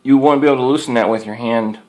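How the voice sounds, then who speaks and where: A man's voice: medium pitch, fast, mellow. A man giving a casual, unscripted product review as a hobbyist, rambling in a folksy way and circling back over his points. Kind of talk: casual unscripted show-and-tell.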